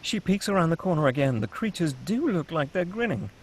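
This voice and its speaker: A man's voice: fairly high pitch, very animated, brisk, low-quality recording. A man reading scene headings and stage directions as the narrator of a scripted sci-fi table read.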